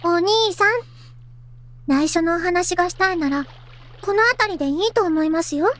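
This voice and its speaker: A woman's voice: extremely high pitch, fast, fairly bright tone. A woman voicing a cute, chatty teenage girl in a Japanese visual novel. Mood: teasing. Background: game music.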